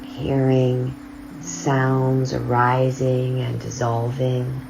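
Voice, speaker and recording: Very low, slow-speaking woman meditation guide. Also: completely flat delivery, gravelly, noisy background. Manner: slow, soft, drawn-out phrasing with long pauses. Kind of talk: guided body scan meditation. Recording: slightly dull lo-fi sound over loud singing bowl music. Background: crystal singing bowls and flowing river.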